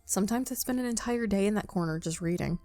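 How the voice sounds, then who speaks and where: A woman's voice: mid-range voice, quick pace, no echo, faint music behind, lightly acted. A woman voicing a shy, bookish, easily flustered young woman in a visual novel game, hesitant and stammering. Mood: wistful. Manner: hesitant, soft-hearted, stammering.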